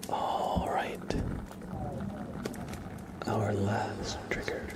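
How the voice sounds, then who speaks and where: A man whispering gently as an ASMR streamer, soothing and unhurried, guiding listeners into relaxation in a breathy, mostly unvoiced voice with long pauses. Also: lowish voice, completely flat delivery, far from the mic.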